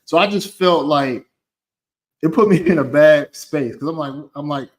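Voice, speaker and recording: Male travel vlogger, casual and rambling, restarts his sentences a lot, mid-pitched, swears offhand.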